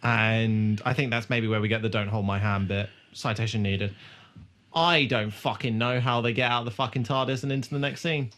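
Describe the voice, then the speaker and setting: A man's voice: fairly low pitch, steady tone, moderate pace, fairly bright tone. Sweary man in casual podcast chat. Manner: sweary, irreverent. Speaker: podcast host. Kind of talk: casual chat recapping a TV episode.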